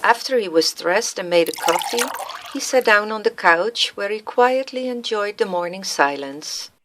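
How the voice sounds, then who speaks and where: A woman's voice: medium pitch, expressive, normal speed, noisy background. A woman reading scripted story narration as the narrator of a radio play. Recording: music laid under the voice.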